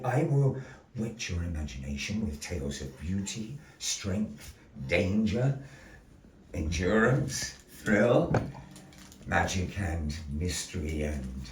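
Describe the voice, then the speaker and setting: A man hosting a live show as storyteller, with grandiose, deliberate phrasing and playful asides to the audience. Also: deep voice, animated, darkish tone, slight background noise. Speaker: storyteller and master of ceremonies. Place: indoor gallery. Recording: roomy echo, voice distant from mic.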